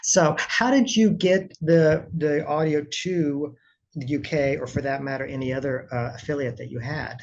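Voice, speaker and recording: A man's voice: fairly high pitch, moderate pace, gravelly. Casual American male interviewer, slightly dull sound. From United States.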